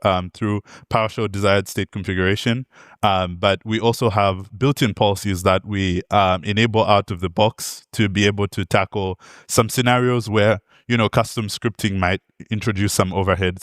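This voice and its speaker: A man's voice: fairly low pitch, clear, resonant tone, no room sound, studio quality. A young man from Zambia giving a technical conference talk, friendly, with many ums and uhs and light jokes. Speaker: conference speaker, product manager. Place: conference hall.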